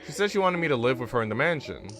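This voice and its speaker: A man's voice: medium pitch, animated, racing, lightly acted. Man reading as reflective young woman, music behind. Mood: reflective, uncertain. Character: young woman narrator, reflective and uncertain, visual novel. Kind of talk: reading visual novel narration aloud.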